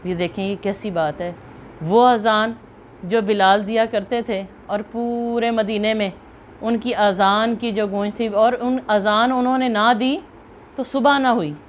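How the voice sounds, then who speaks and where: A woman with a Pakistani accent speaks as a video host at a medium pitch. She gives earnest, emphatic opinion commentary, often rewording herself mid-sentence. The recording is dull and lo-fi, with noticeable noise behind her. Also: fairly even intonation, unhurried. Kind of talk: unscripted opinion commentary to viewers. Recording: muffled lo-fi, clear background noise.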